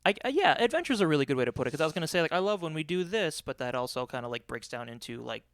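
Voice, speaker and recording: Animated young American man, goofy podcast chat. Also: fairly high pitch, rapid-fire, clean tone, no room sound, clean studio sound. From New York State, US. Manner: goofy, self-deprecating, rambling with tangents. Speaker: podcast host. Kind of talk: casual chat.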